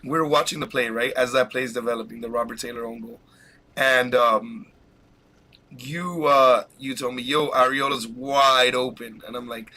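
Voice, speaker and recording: A man hosting a casual live podcast, talking excitedly and rambling with frequent laughs while fighting a cold. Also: mid-range voice, moderate pace. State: has a cold. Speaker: podcast host.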